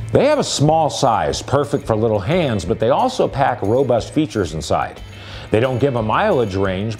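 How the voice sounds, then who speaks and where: A man's voice: medium pitch, darkish tone. A man giving a scripted explainer voice-over about handheld radios as a store's product presenter.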